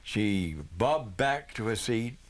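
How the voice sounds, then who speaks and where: A man's voice: fairly low pitch, lively intonation, takes it slowly, a little hiss or hum. A man reading a children's Christmas story aloud as scripted narration. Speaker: storybook reader.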